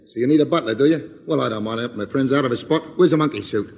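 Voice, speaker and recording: A man's voice: medium pitch, racing, darkish tone, muffled recording, close to an everyday voice. Cheeky chancer played by a man, echoey. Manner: casual, wisecracking, blunt. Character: cheeky, rough-spoken male chancer pal. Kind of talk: 1950s British radio comedy acting. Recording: old radio recording, echoey hall.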